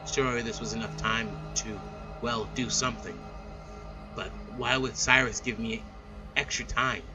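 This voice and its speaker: A man's voice: mid-range voice, steady tone, unhurried, rough recording. A man hosting a let's play, reading a visual novel aloud and adding casual commentary. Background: game music.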